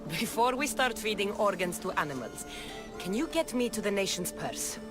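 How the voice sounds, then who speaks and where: Woman acting a dry, guarded warrior woman, expressive. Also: mid-range voice, moderate pace, close to an everyday voice. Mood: firm, planning. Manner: dry, guarded, matter-of-fact. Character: tough, wry female Greek mercenary warrior. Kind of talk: video game voice acting. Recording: background music under the voice.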